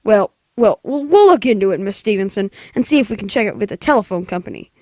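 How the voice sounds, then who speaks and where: Woman putting on a brusque, lower-toned police sergeant. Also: animated, fast, a little mellow, no room sound, close to an everyday voice. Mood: routine, placating. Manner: brusque, colloquial, patronizing. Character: gruff, dismissive, weary city police sergeant. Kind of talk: 1940s radio play acting.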